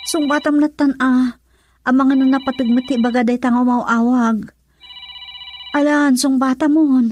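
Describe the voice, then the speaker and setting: A woman's voice: high voice, steady tone, moderate pace, a little mellow, slight background noise, lightly acted. A woman playing an anxious wife who mediates in an Ilocano radio drama. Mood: anxious, pleading. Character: anxious wife mediating between father and husband.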